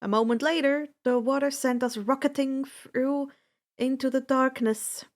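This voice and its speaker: Woman reading fanfic out loud, kinda high voice, takes it really slow, deliberate and dramatic. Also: steady tone. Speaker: fan fiction narrator. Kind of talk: expressive first-person story narration.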